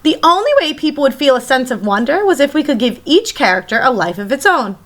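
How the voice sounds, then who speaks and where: A woman teacher reading a picture book aloud to children in an expressive, emphatic storytelling manner. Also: sits high. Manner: animated, emphatic, pauses to question young listeners.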